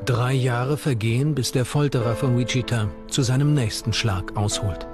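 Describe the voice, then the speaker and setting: A man narrates a true crime documentary from a script. His voice sits at a middling pitch, with music playing faintly underneath. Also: normal speed. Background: background music.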